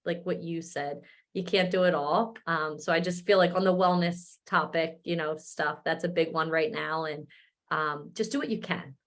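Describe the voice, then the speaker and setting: Woman in her 30s, lowish voice, laid back wellness coach chatting. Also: normal speed. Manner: relaxed, lots of "like" and "um". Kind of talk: casual chat.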